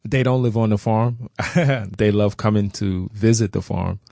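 A man's voice: on the low side, steady tone, normal speed, vocal fry, dark tone, dry recording, studio quality. A middle-aged American man from Brooklyn, speaking conversationally as an interview guest. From Brooklyn, New York. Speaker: interview guest, farmer and author.

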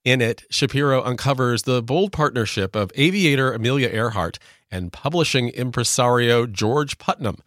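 A man hosts a radio programme from a script. His voice has an average male pitch and a very dry, studio-close sound with no room around it. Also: lively intonation, normal speed, a bit bright, no echo. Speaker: radio host.

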